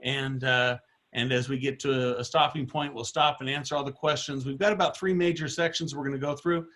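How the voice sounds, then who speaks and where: A middle-aged man with a slightly hoarse voice leading an online product training, informal and rambling with many fillers and restarts. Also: mid-range voice, steady tone, a little fast, a bit bright.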